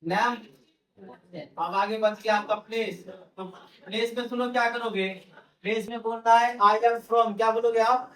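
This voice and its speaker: A man's voice: high-pitched, steady tone, measured pace, long pauses, fairly bright tone, distant mic. A male English teacher, lecturing a class and repeating his key phrases as he keeps questioning the students. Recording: roomy classroom, voice from off-mic.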